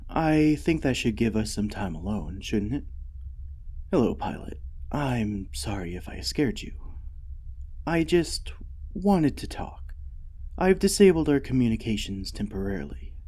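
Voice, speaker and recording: A man voicing a gentle, devoted mech AI in a soft, soothing, earnest ASMR roleplay. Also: medium pitch, very animated, unhurried. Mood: gentle, reassuring. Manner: soothing, earnest, hesitant, often dropping to a whisper. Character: gentle, devoted mech artificial intelligence comforting its pilot.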